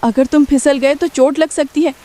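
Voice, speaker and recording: A woman's voice: high-pitched, steady tone, moderate pace, mellow, lightly acted. A woman playing a worried, caring poor village mother in a Hindi cartoon story, earnestly instructing and protecting her family. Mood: worried, urgent.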